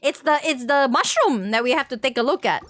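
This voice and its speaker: A woman's voice: high-pitched, lively intonation, a little fast, a bit bright. A woman streaming a game with casual, playful chat, often exclaiming and repeating her phrases. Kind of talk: casual livestream gameplay chat. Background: game music.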